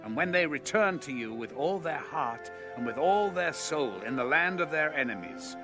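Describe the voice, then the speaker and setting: An actor playing a devout ancient king in a dramatized Bible reading, solemn, measured and reverent. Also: on the high side, big pitch swings, music in the background. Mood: solemn pleading. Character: devout ancient king praying before his people.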